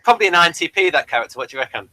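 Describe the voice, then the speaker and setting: A man's voice: a little high, animated, rapid-fire, sharp tone, no echo, rough recording. A man hosting a casual pop-culture review, rambling keenly through small details. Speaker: review show host.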